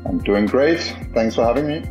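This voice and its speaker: A man's voice: mid-range voice, lively intonation, moderate pace, darkish tone, dull audio, soft background music. A man from Denmark with a Danish accent, a startup co-founder talking as a podcast guest, with many fillers and restarts. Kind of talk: casual interview talk.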